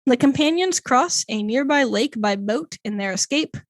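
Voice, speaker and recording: A woman's voice: sits high, animated, moderate pace. Hesitant woman reading a plot aloud. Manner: hesitant, filler-heavy, stumbles over fantasy names. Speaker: podcast co-host. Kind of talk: casual chat, reading a plot summary aloud.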